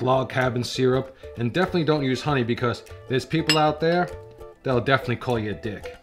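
Man, middle-aged, casual cooking host, chatty and wisecracking, guitar music underneath. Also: mid-range voice. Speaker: home cooking show host. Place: home kitchen indoors.

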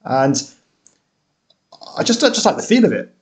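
A British man in his thirties hosting a music reaction video from a small room at home, relaxed and hesitant, with many ums. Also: a little high, normal speed. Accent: British English. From Britain. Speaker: reaction channel host. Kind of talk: casual reaction chat.

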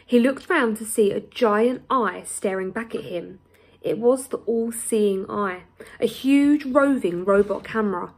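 A woman in her thirties reads a children's storybook aloud like a teacher, deliberate and expressive, with dramatic pauses. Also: mid-range voice, rough recording. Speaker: primary school teacher reading aloud. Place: small plain room indoors.

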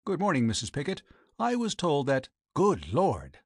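A man's voice: fairly high pitch, sing-song, fairly quick, no echo, studio quality, pitched above the performer's own voice. A man voicing a young doctor in an audiobook story, frank, puzzled and emphatic. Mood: startled. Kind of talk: audiobook character voice.

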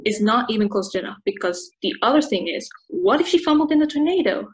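A woman's voice: fairly high pitch, animated, brisk, natural voice. A woman reading the part of a sports commentator who thinks aloud through the numbers, in a video-call table read. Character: sports radio commentator, talkative and analytical.

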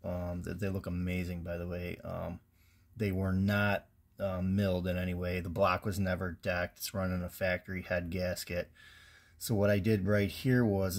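A man with a somewhat low voice talks through engine parts in a plain, technical way, with ums and restarts. He sounds like a casual garage how-to, recorded close on a handheld phone. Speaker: home mechanic. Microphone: handheld phone camera close over engine.

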